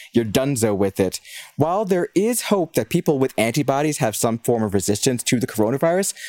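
A man's voice: on the high side, a little mellow, dry recording. A man co-hosting, moving between a news update and casual banter in a wry, jokey, colloquial way. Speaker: co-host.

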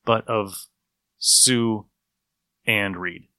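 A slightly low-voiced man with a bright, forceful tone, recorded close and dry. He talks like a podcast co-host in a casual review chat, working through his points with geeky animation and repeating phrases to press them home. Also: fairly even intonation, extremely slow, dry recording. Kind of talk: casual review chat about old radio episodes.

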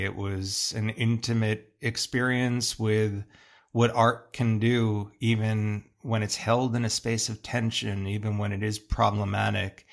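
A middle-aged American man telling personal stories in an interview in a thoughtful, halting way, often pausing mid-phrase. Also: lowish voice, gravelly. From United States, suburban Long Island. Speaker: interviewed artist. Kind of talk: reflective interview storytelling.

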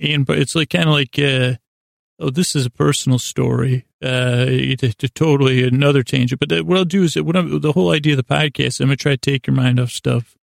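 A man with a gravelly voice hosting a bedtime podcast, talking in a hesitant, meandering way, stumbling over words and drifting off into tangents to lull listeners to sleep. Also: medium pitch, fairly even intonation, brisk, no room sound, clean studio sound. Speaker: podcast host. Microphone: close studio mic.

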